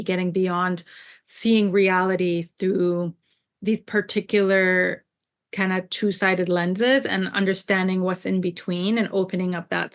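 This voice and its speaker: A woman's voice: fairly low pitch, fairly bright tone, clear, resonant tone. A woman from Jerusalem who moved to the US at ten, a native Hebrew speaker, talking reflectively and explaining her ideas as an interview guest. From born in Jerusalem, moved to the US at ten. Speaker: podcast guest, scholar and artist.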